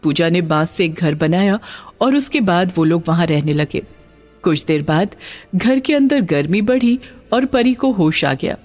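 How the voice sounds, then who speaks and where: A woman reading a scripted story as narrator, with a dramatic, expressive delivery. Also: fairly low pitch, a little slow, soft background music.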